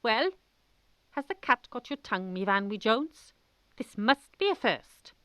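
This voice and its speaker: A woman's voice: a little high, sing-song, measured pace, a bit bright, clear, resonant tone, no echo. A woman playing a stern, pompous older headmistress with a Welsh accent in a comic radio drama, imperious and theatrical. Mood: scolding, outraged. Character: stern, pompous older chapel-going headmistress, mock outraged.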